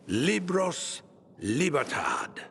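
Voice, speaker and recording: A man's voice: on the high side, very animated, extremely slow, gravelly, a bit bright, long reverb tail, a little hiss or hum, exaggerated voice. An actor playing a grandiose, theatrical old male scholar who speaks in pompous, flowery phrases. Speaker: book-collecting patron.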